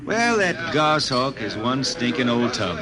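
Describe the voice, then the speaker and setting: A man's voice: mid-range voice, very animated, a little slow. An American actor playing a cocky, hard-drinking, folksy jet man and singer in a 1950s radio drama, with a sly rustic drawl. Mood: sly, defiant. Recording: old radio broadcast with music underneath.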